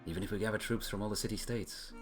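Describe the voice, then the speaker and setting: A man's voice: on the low side, fairly even intonation, quick pace, over music, natural voice. A man voicing a weary, earnest female city leader burdened by war in a video game, grave and reflective. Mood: somber, worried. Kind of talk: acting out video game dialogue.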